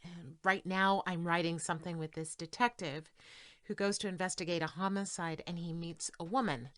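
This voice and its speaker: A woman's voice: lowish voice, steady tone, moderate pace, a bit bright, dry recording, clean studio sound, close to an everyday voice. An actress playing a defensive, articulate woman writer in an audio drama, with emphatic, exasperated delivery. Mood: absorbed, reflective. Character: defensive, articulate female writer of women's erotica. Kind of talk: stage-style audio drama acting.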